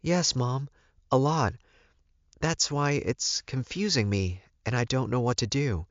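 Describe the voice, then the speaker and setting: A man's voice: mid-range voice, measured pace, no echo, highs cut off, pitched above the performer's own voice, lightly acted. A man voicing a worried, dutiful male werewolf confiding earnestly, in audiobook dialogue. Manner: earnest, pleading, anxious.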